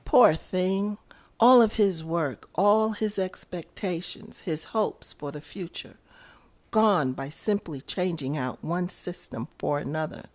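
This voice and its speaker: Devoted, hopeful wife female actor, deep voice, slow reflective inner monologue, audio drama. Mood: sympathetic. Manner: reflective, measured. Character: Goose, supportive, hopeful, devout wife musing to herself.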